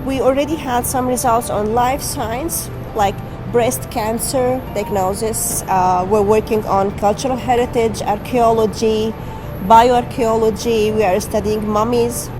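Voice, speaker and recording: A woman's voice: on the high side, steady tone, measured pace. A woman scientist explaining her facility's research plainly in a documentary interview. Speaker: synchrotron scientist. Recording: music bed under the voice.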